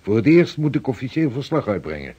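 An actor playing a driven, devout sixteenth-century ship's captain in a radio drama, speaking formally and with command. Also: male voice, mid-range voice, big pitch swings, a little fast, mellow, slightly rough, dull audio. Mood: weighty, evasive.

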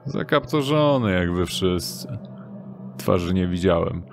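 Man playing a thug doorkeeper, sardonic, lowish voice, unhurried slow pace, audio drama. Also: lively intonation, vocal fry, full character voice. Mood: offhand. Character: stocky, sly, mocking thug doorman. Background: background music.

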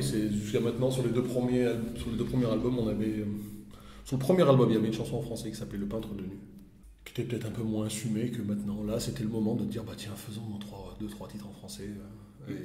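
A man's voice: fairly low pitch, fairly even intonation, quick pace, dark tone. A man from France, giving a casual interview as a musician and often circling back over his phrases. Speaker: musician in a blues duo, interviewee. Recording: roomy, echoing space with background noise.

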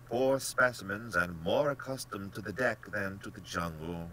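A man's voice: lowish voice, normal speed, bright, forceful tone, slight background noise, voice pushed up. A man voicing a genteel, smug, cold-blooded general who hunts men, speaking with pompous, blandly polite theatrical emphasis in an audiobook. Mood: blandly menacing. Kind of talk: dramatic audiobook character acting.

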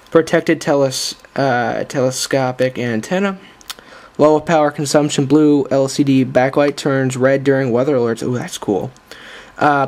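A man hosting a casual unboxing vlog in a halting, informal way, recorded indoors on a handheld camera's built-in microphone. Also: a little high, darkish tone. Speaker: video host.

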